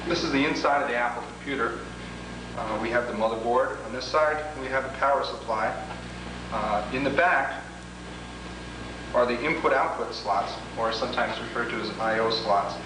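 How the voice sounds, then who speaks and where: A man's voice: mid-range voice, normal speed. Man demonstrating equipment in noisy, echoey room. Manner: methodical, explanatory, formal phrasing. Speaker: product demonstrator and presenter. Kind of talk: instructional product demonstration, step by step. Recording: echoey room, clearly noisy old home video.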